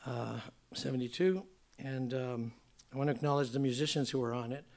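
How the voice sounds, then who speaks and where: An older man, slightly hoarse, introducing his song in casual, rambling stage talk with many fillers. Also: mid-range voice, brisk, a little mellow. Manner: rambling, filler-heavy, chatty. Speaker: singer-songwriter. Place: theater stage at a museum event.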